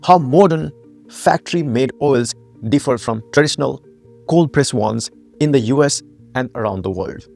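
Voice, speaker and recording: A man's voice: mid-range voice, moderate pace, gravelly, darkish tone, faint music behind. A man from Nepal, founder and host of a health channel, giving a scripted educational narration with emphatic rhetorical questions.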